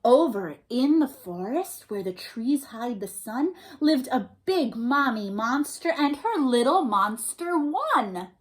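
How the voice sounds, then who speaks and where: A woman's voice: high voice, big pitch swings, takes it slowly, few pauses. A woman hosting a storytime for young children, reading aloud and chatting in an encouraging, sing-song way with questions and praise. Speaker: children's storytime host and librarian.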